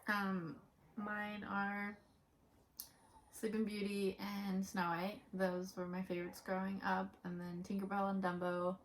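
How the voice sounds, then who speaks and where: A woman's voice: mid-range voice, little pitch movement, unhurried, slight background noise, low-quality recording. A woman in her early twenties chatting casually as a vlog co-host, reading out questions and giving her own answers. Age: early 20s. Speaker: co-host of a Disney fan vlog.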